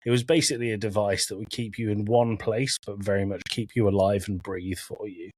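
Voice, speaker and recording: A man's voice: on the low side, fairly even intonation, a little fast, darkish tone, dry recording. A man hosting an informal podcast about films and games, talking with jokey asides and some swearing. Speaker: podcast host. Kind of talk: informal film and game discussion, recapping from notes.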